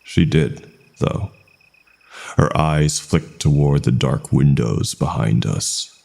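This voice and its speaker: A man's voice: a bass voice, slow, vocal fry, darkish tone. A man reading a scripted first-person horror story as its narrator.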